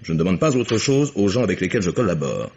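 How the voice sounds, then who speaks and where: Coldly calm, husky low-voiced engineer. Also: male voice, exaggerated voice. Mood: guarded, proud. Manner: formal, measured, clipped declarations. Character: proud, coldly calm, guarded male chief engineer. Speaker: actor in a radio crime drama. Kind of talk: French radio mystery acting. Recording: muffled old radio recording.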